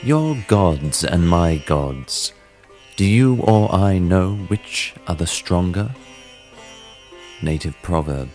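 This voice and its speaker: Male narrator, low register, theatrical and measured delivery for classic horror, over a soft sitar bed. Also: animated. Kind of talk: dramatic reading of a classic horror story. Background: sitar music.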